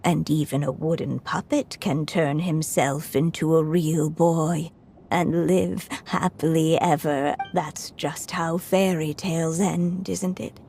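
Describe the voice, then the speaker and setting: Anya, a frail, wistful old writer, speaks slowly and softly in a mellow, low voice in a game story scene. Her words come with a quiet, breathy resolve, and soft music plays behind her. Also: steady tone, exaggerated voice. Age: older. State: weak, coughing. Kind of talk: story cutscene voice acting.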